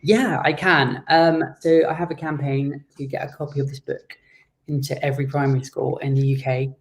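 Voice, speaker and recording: A man giving conversational interview answers as a guest author, explaining things in a measured way. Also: a little high, level tone, poor audio.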